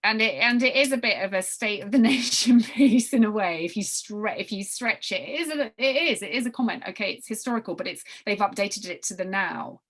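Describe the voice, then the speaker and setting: A woman with a mid-pitched voice leads an informal group briefing. She talks in a halting way, restarting and repeating phrases to press her point. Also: fairly bright tone. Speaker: writing workshop leader. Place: online group video call.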